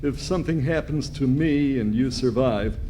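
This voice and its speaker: An older man playing a steady, earnest, commanding Texas Ranger captain in a western radio play. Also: medium pitch, normal speed, lightly acted. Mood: solemn, earnest. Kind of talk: amateur old-time radio western acting. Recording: faint noise, live event stage.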